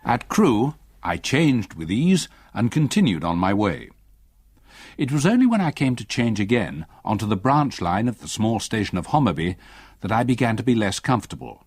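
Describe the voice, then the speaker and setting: An actor playing an old English gentleman who narrates his memories in a radio drama, measured, literary and well-spoken. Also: on the low side, sing-song, slightly rough, no room sound, full character voice. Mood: reflective, uneasy.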